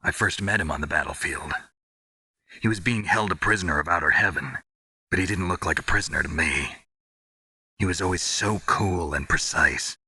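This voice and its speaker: An actor playing a gruff, weary war veteran in a video game, stoic and blunt, with a raspy, clipped, deadpan delivery. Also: male voice, low voice, moderate pace, no room sound, full character voice. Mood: reflective. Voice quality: very raspy, gravelly, husky. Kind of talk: dramatic video game voice acting.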